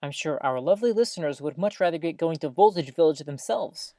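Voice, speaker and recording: A woman's voice: sits low, expressive, fairly quick, mellow, no echo, clean studio sound. A woman playing a stubborn, playful radio co-host in an audio drama, with a theatrical, mock-formal, quibbling manner. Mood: earnest, pleading. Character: stubborn, playful radio host who loves old tech.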